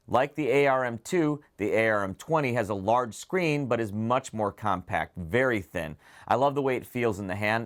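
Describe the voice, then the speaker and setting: A man hosting a product preview, speaking to viewers in a detailed, enthusiastic, semi-scripted way. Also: lowish voice. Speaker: presenter of an audio gear preview show.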